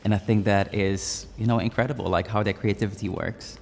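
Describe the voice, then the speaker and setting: A man from Brazil talks chattily and enthusiastically as a judge. His voice is low and gravelly and carries a roomy reverb, with faint music underneath. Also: steady tone, brisk, a little mellow. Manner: enthusiastic, chatty, fills with "you know, like". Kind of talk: promo interview to camera.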